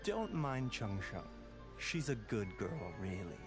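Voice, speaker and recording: A man's voice: on the low side, big pitch swings, slow, over music. An actor playing a genial but sly male pharmacist in a video game, with polite, salesman-like courtesy. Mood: courteous.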